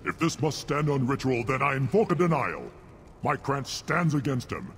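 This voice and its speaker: A man's voice: medium pitch, sing-song, normal speed, fairly bright tone, a little hiss or hum. A man playing a proud, hostile alien warrior in a video game, scornful and combative in a declamatory way. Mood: scornful, defiant. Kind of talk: video game cutscene acting.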